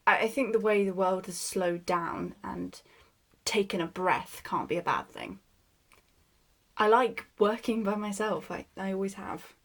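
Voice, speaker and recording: A medium-pitched female voice of an actress reflects in a film diary monologue. She is a contented introvert who likes her own company, and she hesitates slightly as she thinks aloud. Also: measured pace. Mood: content, reflective.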